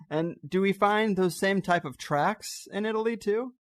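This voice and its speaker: Higher-pitched man hosting a podcast interview. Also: lively intonation, slow, no room sound, clean studio sound. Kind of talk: casual conversational interviewing.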